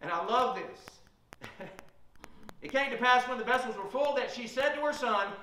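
High bright preacher in an echoey church. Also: male voice, moderate pace, far from the mic. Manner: storytelling preacher retelling Bible stories. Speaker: pastor. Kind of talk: sermon. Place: small church sanctuary, at a pulpit. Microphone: room mic from a far camera.

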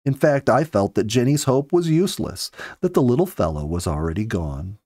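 A man in his fifties reading a story aloud with earnest, measured, dramatic phrasing, close to a studio microphone. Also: on the low side, sing-song, darkish tone, no echo. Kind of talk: expressive audiobook-style story narration. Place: small home study, indoors. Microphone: close studio mic with pop filter.

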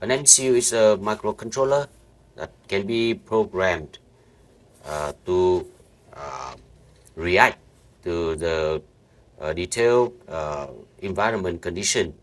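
A man with a low voice and guides viewers through a screen-recorded lesson. He talks slowly, piecing sentences together with frequent fillers and false starts. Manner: halting, many fillers and restarts. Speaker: networking instructor. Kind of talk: screen-recorded software walkthrough.

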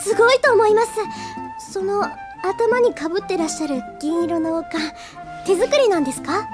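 A woman's voice: very high voice, animated, normal speed. An actress playing an earnest, easily flustered teenage schoolgirl in an anime audio drama, with a hesitant, stammering manner. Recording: music behind the voice. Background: background music.

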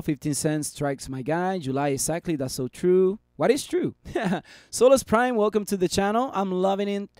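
A man in his thirties hosts a live market stream from a small room at his desk, chatty and upbeat, reading out viewer comments. His voice sits high and sounds a little worn from talking so much. The sound is dry and close, with no room echo. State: losing his voice. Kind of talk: live stream chart commentary and chat replies.

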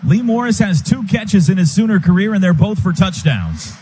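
High-pitched male football play-by-play announcer. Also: lively intonation, darkish tone. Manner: clipped, rapid-fire phrases. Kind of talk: live radio football commentary. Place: stadium radio booth. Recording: noisy stadium broadcast.

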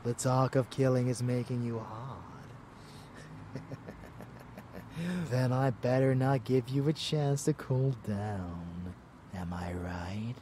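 A man playing a rebellious, murderous vampire lover in an intimate ASMR roleplay, teasing and menacing, breathy and often dropping into a whisper. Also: mid-range voice, a little slow, warm tone, a little hiss or hum, natural voice.

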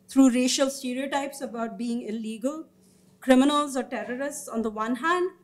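A woman with a fairly high voice presents research findings at a panel. She speaks formally from notes but slips in informal asides, often pausing mid-sentence as she goes. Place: indoor meeting room or auditorium, seated at a panel table. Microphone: gooseneck table microphone.

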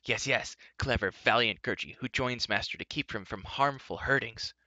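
A man's voice: mid-range voice, normal speed, sharp tone, dry recording, muffled recording. A man voicing a small wild creature for a storybook, whiny, boastful and loyal, speaking of himself in sing-song rhymes. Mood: bold boasting. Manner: third-person self-praise in sing-song rhymes.

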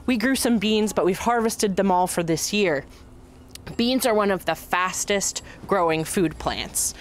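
A young woman outdoors in a garden, gently explaining things in simple words and wondering aloud with her listeners. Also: on the low side. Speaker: children's time leader. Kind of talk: gentle teaching talk.

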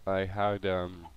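A man's voice: sits low, clean tone, lo-fi sound. A man with a cold and a nasal voice, hosting a gameplay video and chatting casually with many ums and uhs.

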